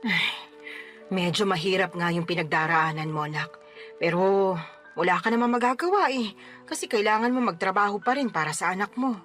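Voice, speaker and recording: A woman's voice: mid-range voice, expressive, moderate pace, fairly bright tone, natural voice. A woman playing a scolding old mother. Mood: reproachful. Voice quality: scolding, dramatic swings up when outraged. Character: stern scolding older mother, a grandmother. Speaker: mother of the letter sender. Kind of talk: Tagalog radio drama acting. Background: faint background music.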